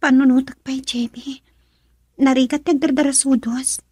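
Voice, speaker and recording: An anxious young Filipina girlfriend in a radio drama. Her voice is high and soft-toned, sweet and hesitant, recorded dry and close. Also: steady tone, normal speed, slightly rough, no room sound. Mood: worried. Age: young adult.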